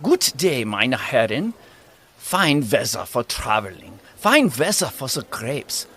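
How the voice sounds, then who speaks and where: A man's voice: medium pitch, sing-song, slow, pushed and bright, exaggerated voice. An actor playing a friendly, proud local countryman in an audio drama. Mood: cheerful, proud.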